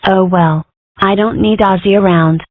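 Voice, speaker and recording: A woman's voice: mid-range voice, fairly even intonation, measured pace, no echo, close to an everyday voice. A woman plays a mischievous young cartoon schoolgirl with big words and an indignant, theatrical streak. The sound is very dry and thin, squeezed like a phone line. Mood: indignant, wordy. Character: Millie, precocious, argumentative, mischievous young schoolgirl cartoon character. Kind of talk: comic strip dub. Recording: muffled lo-fi phone-like home recording.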